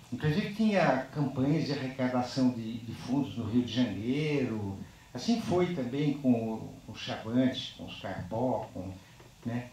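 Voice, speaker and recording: A man speaking unscripted on a panel after a film screening. He talks in a halting, reflective way and ends most phrases with a tag question. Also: medium pitch. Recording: very echoey, distant microphone.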